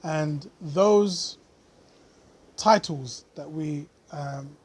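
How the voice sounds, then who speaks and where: Husky-voiced man, earnest vlog commentary. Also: on the high side. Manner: earnest, thoughtful, with frequent pauses and fillers. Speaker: vlogger. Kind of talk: unscripted commentary to camera.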